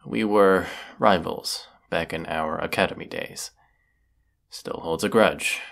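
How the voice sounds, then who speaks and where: A man playing a proud, brooding, sardonic wizard of the woods in a scripted audio roleplay, speaking haughtily and deliberately. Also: deep voice, gravelly, fairly bright tone, full character voice. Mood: regretful, guarded.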